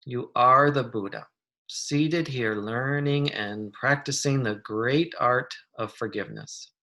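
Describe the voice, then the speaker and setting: Meditation guide, medium pitch: soft-mannered, soothing, unhurried, leaving long pauses between gentle instructions. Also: rough recording.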